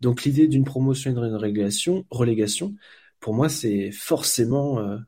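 A man's voice: mid-range voice, moderate pace, dark tone. A man from France, a volunteer football blogger, answering interview questions in a hesitant way, with many fillers and restarts.

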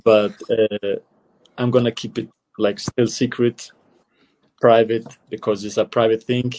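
A young man speaks English with an Albanian accent, his low voice dark and mellow. He keeps it fairly flat and even, pausing often on fillers and restarting his sentences as he answers casually. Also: rough recording. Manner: hesitant, many fillers and restarts. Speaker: footballer guest.

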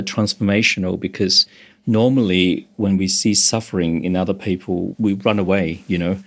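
Low-voiced meditation teacher from Hong Kong. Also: male voice. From Hong Kong, moved to Australia as a teenager. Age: 50s or older. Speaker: meditation instructor, interview guest. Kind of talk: explanatory interview talk.

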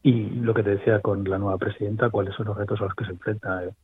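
A man from Spain with a Spanish accent, a guest talking politics over a call, pausing and restarting as he thinks. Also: lowish voice, level tone, husky, dry recording. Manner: thoughtful, many restarts and fillers. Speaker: guest commentator. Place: remote location abroad. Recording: tinny phone line, dry.

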